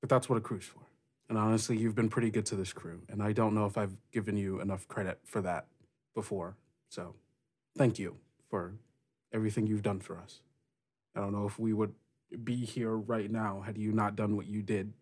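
A man voicing a frank, rough-edged female pirate captain in a casual, halting, sweary way for a tabletop game. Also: lowish voice, flat delivery, a little mellow. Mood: sincere, encouraging.

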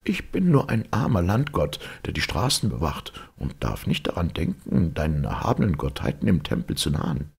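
A man's voice: rumbling low, huge pitch swings, moderate pace, gravelly, few pauses, darkish tone, husky, full character voice. A man voicing a humble, polite old country god in a storybook, apologetic and deferential. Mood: humble, admonishing.

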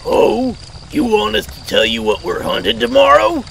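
A man's voice: high-pitched, huge pitch swings, moderate pace, vocal fry, a bit bright, a little hiss or hum, full character voice. A man playing an eager, protective monster hunter in an amateur audio drama, serving as a princess's regent. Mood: eager, explaining. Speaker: voice actor. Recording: music over the voice.

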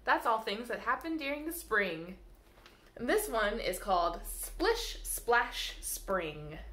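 High, lively woman reading to young children. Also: takes it slowly. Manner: sing-song, addressing young children. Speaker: library staff storyteller. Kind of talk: children's picture book read-aloud. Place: small room indoors by a window, seated. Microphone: camera mic a short distance away. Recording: roomy echo from camera mic.

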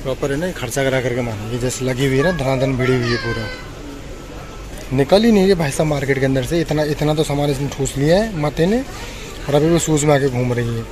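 A man's voice: medium pitch, fast talker. A man chats casually to his vlog in a teasing, mock-complaining way. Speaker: family vlogger. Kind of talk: casual handheld vlog chat in Hindi. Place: busy indoor shopping mall. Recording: noisy crowded mall, background chatter.

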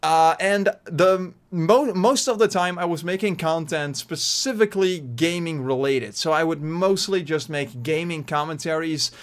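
A man's voice: on the high side, unhurried, few pauses. A young Dutch man in his twenties with a Dutch accent, talking casually to his webcam from his bedroom, rambling with lots of fillers and restarts. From Netherlands. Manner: animated hand gestures while talking. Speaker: YouTuber. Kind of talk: casual vlog talk to webcam. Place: small bedroom, indoors. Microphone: desk mic in front of webcam.

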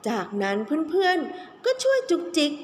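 A woman's voice: very high voice, wildly swooping pitch, normal speed. A woman teacher telling a children's story expressively, stretching key words for young listeners. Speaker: kindergarten teacher telling stories. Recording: echoey room with faint background noise.

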